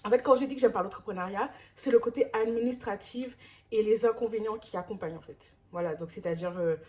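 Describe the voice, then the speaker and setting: A woman's voice: medium pitch, fast, mellow. A woman in her thirties talking casually to camera in a vlog, rambling with many restarts and fillers. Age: 30s. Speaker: vlogger.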